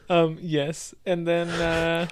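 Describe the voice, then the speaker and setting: A man co-hosting a podcast in a casual, halting, tongue-in-cheek way. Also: fairly high pitch, completely flat delivery, clean tone. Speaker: podcast co-host.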